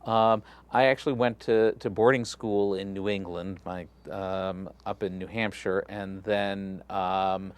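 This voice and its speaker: A man's voice: low-pitched, steady tone, unhurried, few pauses, clear, resonant tone. A middle-aged man, a rabbi from Texas, chatting in an interview in a loose, friendly way with many fillers and restarts. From Galveston, Texas. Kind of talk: casual interview conversation.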